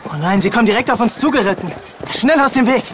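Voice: medium pitch, lively intonation, fast, natural voice. An actor playing a plucky boy adventurer in a children's radio play. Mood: excited. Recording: loud crowd and noisy sound effects. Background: loud tournament crowd.

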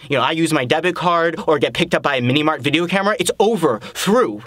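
A man acting a dramatic monologue as a desperate, self-loathing adulterer nearing middle age, halting and repeating himself, swearing and pleading. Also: on the high side, lively intonation, a bit bright, no room sound. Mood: urgent. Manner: halting, repetitive, swearing, emphatic.

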